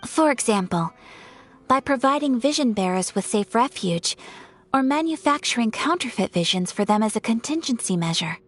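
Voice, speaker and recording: Polite formal game noblewoman, slightly high, kinda breathy. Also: lively intonation, moderate pace. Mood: calm formal. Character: young noblewoman, composed and courteous. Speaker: noble of a ceremonial commission. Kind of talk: video game voice acting.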